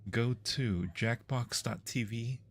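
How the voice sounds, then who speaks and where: A man's voice: medium pitch, slow pace. A man hosting a livestream, chatting casually with his viewers while playing games. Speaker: livestream host.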